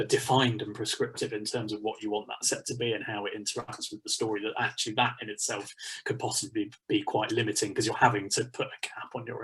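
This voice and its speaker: British male workshop leader, husky, mid-pitched, chatty and discursive, on a video call. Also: fairly bright tone, poor audio. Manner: chatty, discursive, thinking aloud. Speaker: pantomime writer leading a workshop. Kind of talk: informal workshop talk. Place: small home study, indoors. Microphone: computer mic on a video call.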